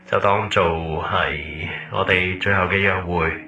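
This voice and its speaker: A man's voice: sits low, fairly even intonation, unhurried, pushed and bright, highs cut off, heavily acted. A man with a Hong Kong Cantonese accent playing a wistful former lover who leaves a recorded voice message, casual and nostalgic, guiding the listener along like a tour guide. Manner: casual, nostalgic, tour-guide patter mixed with English words. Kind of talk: audio drama monologue as voice message. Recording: roomy, slightly distant, music behind.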